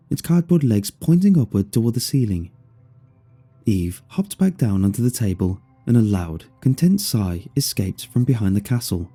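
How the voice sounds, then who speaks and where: A man narrates a first-person horror story in a very dark, mellow voice. It has a husky edge and lively, expressive movement, with music playing underneath. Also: fairly low pitch.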